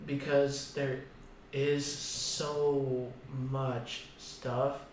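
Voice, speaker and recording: A man co-hosting a casual gaming podcast, thinking aloud in a halting, tentative way. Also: mid-range voice, little pitch movement, distant mic. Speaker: podcast co-host. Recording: very echoey, off-mic, faint room noise.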